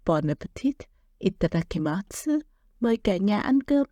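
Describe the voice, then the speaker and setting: A woman's voice: medium pitch, big pitch swings, moderate pace, mellow, dry recording. A woman with a non-native English accent narrating a home cooking recipe as a voice-over, cheerful and playful. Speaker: cooking channel host.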